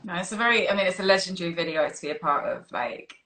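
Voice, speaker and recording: A woman's voice: fairly low pitch, fast talker, pushed and bright. A woman hosting a casual live-stream interview, chatting in an easygoing, giggly way. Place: remote social media live video stream.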